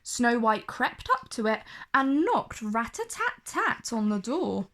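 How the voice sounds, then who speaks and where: A woman storyteller with a fairly high voice reads a children's tale. Her delivery is very slow, deliberate and expressive. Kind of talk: scripted story read-aloud for children.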